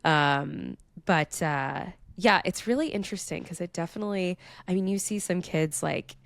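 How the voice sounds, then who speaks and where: Woman co-host, stuffy from a cold, low gravelly voice, chatty. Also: moderate pace. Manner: chatty, acts out quotes. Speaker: podcast co-host. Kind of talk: casual chat.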